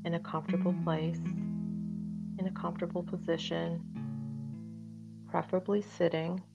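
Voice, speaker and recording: A woman leading a guided meditation in a soothing, measured way with long pauses. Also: fairly low pitch, flat delivery, vocal fry, dull audio. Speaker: meditation guide, holistic practitioner. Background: loud plucked guitar music.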